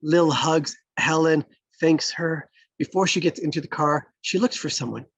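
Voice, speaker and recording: Male stage-directions reader for a screenplay table read, creaky and husky, close dry sound. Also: on the high side, moderate pace, no room sound.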